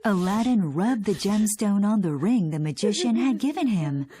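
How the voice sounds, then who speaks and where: A woman narrating a scripted children's fairy tale in an expressive storytelling manner. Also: medium pitch, normal speed, husky.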